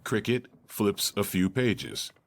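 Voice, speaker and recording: A man serves as narrator, reading scripted stage directions for an audio drama. His voice is very low and his pace is markedly slow. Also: lively intonation.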